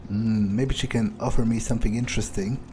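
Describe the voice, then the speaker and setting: A man's voice: on the low side, steady tone, fairly quick, a little mellow. A man musing in character as he plays through a game, hesitantly thinking out his next steps. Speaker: gamer playing in character.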